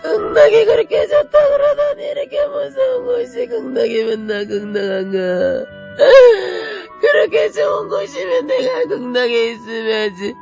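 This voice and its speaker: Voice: very high voice, sing-song, moderate pace, gravelly, darkish tone. A voice sobbing and crying out in terror and pain, in an anguished wailing lament, with music playing behind.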